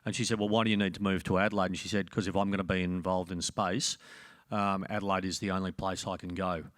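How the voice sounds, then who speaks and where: A man talks on a business panel in a very low, forceful voice. His delivery rambles through anecdotes and fillers. The sound is close and dry. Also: flat delivery, quick pace, dry recording. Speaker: panel speaker. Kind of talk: panel discussion.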